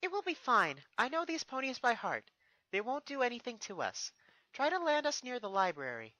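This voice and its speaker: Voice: medium pitch, sing-song, normal speed, bright, forceful tone, no echo, voice pushed up, poor audio. A performer voicing an earnest, eager young female pony princess in a fan fiction reading. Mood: reassuring.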